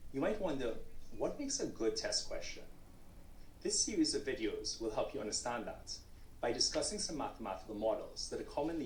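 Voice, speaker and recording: A man from Trinidad and Tobago with a Trinidadian accent, a researcher presenting a scripted course lecture. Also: medium pitch. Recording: played course video, roomy with faint noise.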